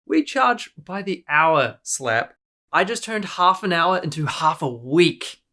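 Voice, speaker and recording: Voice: medium pitch, very animated, slow pace, pushed and bright, full character voice. An actor voicing a sly, greedy goose who runs a repair shop in a cartoon, with a smug, condescending, theatrical manner. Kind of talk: animated cartoon dialogue. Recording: music behind the voice.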